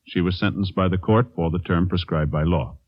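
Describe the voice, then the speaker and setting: A man's voice: low voice, brisk. A man giving formal, declarative scripted narration as a 1950s radio announcer. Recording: dull vintage radio, loud music.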